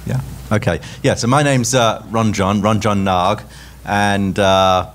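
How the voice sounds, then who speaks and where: A man's voice: on the low side, fairly even intonation, takes it slowly, a little hiss or hum. A man in his fifties, a founder speaking hesitantly with many uhs on a conference panel. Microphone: stand mic.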